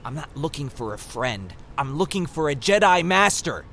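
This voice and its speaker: Impatient young hero over noisy ambience. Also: male voice, a little high, big pitch swings, moderate pace. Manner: exasperated, earnest, pleading. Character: impatient, earnest young male warrior and trainee. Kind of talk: audio drama acting. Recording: clearly noisy ambience behind the voice.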